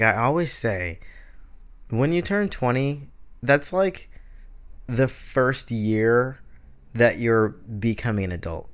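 A man's voice: mid-range voice, a bit bright. A man in his twenties hosting a casual solo podcast, rambling with many fillers and restarts. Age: 20s. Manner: rambling, heavy use of "like", restarts. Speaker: podcast host.

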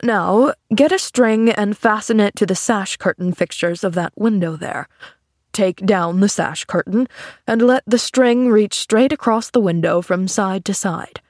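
Woman acting a dry, wryly kind older gentleman. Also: mid-range voice, unhurried, no echo. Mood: calm command. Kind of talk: storybook character acting.

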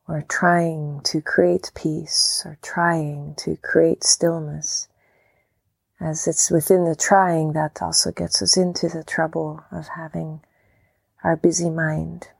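Slow, creaky-voiced woman guiding meditation. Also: sits low, no echo, poor audio. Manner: calm, unhurried, gentle, with long pauses between short phrases. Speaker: meditation guide. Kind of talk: guided meditation, softly spoken instruction.

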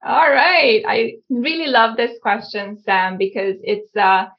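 Creaky-voiced woman from Hungary, muffled audio. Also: fairly high pitch, steady tone, takes it slowly. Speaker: guest, company founder. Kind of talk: conversational interview answers.

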